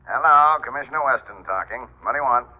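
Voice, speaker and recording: An actor playing a brusque, harried male police commissioner, loud and curt, in an old radio drama. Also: mid-range voice, animated, fast talker, natural voice. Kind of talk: 1940s radio drama acting. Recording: tinny, narrow old radio phone call.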